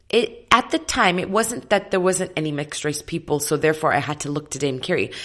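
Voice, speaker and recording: A woman in her thirties, an opera singer, talking as an interview guest. Her voice is slightly husky today, and she tells stories in a reflective, lively way with plenty of fillers. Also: lowish voice. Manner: reflective, animated storytelling with many fillers. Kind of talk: casual interview chat.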